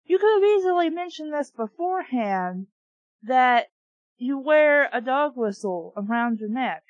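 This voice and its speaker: A woman's voice: high-pitched, lively intonation, unhurried. A woman reading a storybook aloud and breaking off into snarky, exasperated, profane commentary. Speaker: reader and book reviewer. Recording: dull, echoey room recording.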